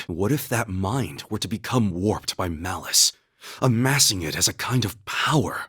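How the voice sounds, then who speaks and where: A steadfast young warrior-knight from a video game. His voice sits low with a breathy, husky grain. He speaks with solemn, measured formality and earnest devotion. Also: a bit bright, no echo, clean studio sound. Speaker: video game character voice actor. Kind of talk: dramatic English video game voice acting.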